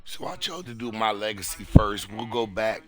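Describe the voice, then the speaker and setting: A man with a hoarse voice chatting casually and in slang as he hosts a gaming stream. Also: fairly low pitch, level tone, normal speed. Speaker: streamer, gaming channel host. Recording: music behind the voice. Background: music.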